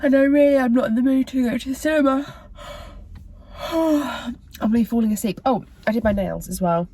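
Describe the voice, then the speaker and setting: A young British woman vlogging in a casual, rambling way, her voice croaky and tired, full of fillers and self-corrections. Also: a little high, normal speed, warm tone, no echo. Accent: British English. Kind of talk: casual chatty vlog talk to camera.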